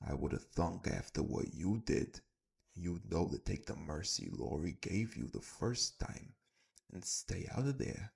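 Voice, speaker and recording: A man playing a menacing crime boss in an audio roleplay, making slow, deliberate threats. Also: deep voice, expressive, vocal fry, darkish tone, heavily acted. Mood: cold menace.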